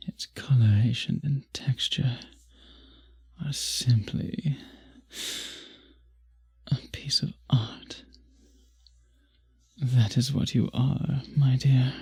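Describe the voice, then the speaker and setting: Guy acting as a possessive vampire lover, super breathy n husky, gravelly, polite old-fashioned asmr murmur. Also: medium pitch, fairly even intonation, very slow, pauses often, a little mellow, full character voice. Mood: reverent, seductive. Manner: formal, courtly, murmuring, often dropping to a whisper. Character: possessive, courteous male vampire, hungrily doting lover. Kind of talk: intimate ASMR roleplay acting.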